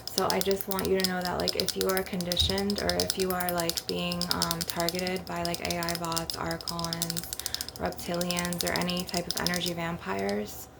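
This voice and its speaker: A woman's voice: fairly low pitch, completely flat delivery, moderate pace, vocal fry. A middle-aged woman giving a casual, rambling, sweary ASMR monologue in a blunt manner. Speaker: ASMR creator leading an energy-clearing session. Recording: noisy, clicking and tapping sounds. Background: tapping, clicking and rattling on glass or crystal.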